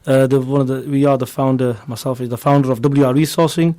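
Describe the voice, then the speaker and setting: A man's voice: mid-range voice, fairly even intonation, quick pace, mellow. A man from Bradford with a West Yorkshire accent, explaining eagerly as a guest in an informal radio interview. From Bradford, England. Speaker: interview guest, recruitment agency founder.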